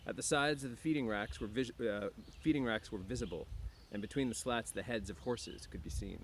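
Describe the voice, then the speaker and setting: A man's voice: medium pitch, fairly quick. A man with an easygoing teacher's delivery, talking to students and reading a book aloud outdoors. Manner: frequent throat clearing. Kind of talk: casual chat to students and book read-aloud. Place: outdoors near woods. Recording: clear outdoor background noise.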